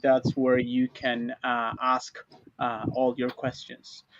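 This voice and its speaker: A man from Argentina hosts an online panel in a halting way. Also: medium pitch, completely flat delivery. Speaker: panel host. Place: converted school bus, video call. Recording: muffled lo-fi online call.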